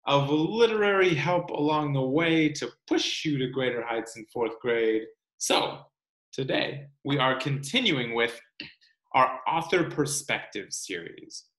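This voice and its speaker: A man in his thirties with a mid-range voice speaks as a teacher to young pupils. He phrases things in a measured, clear way, as in a picture book read-aloud. He is recorded in a room at home on a webcam. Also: mid-range voice, fairly bright tone, rough recording. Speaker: teacher reading aloud to third-grade class.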